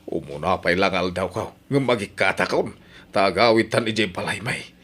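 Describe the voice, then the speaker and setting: A man's voice: sits low, normal speed, a bit bright. A man with an Ilocano accent playing a flustered older village grandfather in a radio comedy drama, exclaiming and stammering. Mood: affectionate, teasing.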